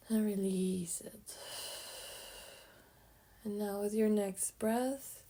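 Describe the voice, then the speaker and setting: A woman guides a meditation at a very slow pace, in short, gently placed phrases. Her voice is mellow and a little creaky, with soft, breathy endings that trail off between pauses. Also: mid-range voice.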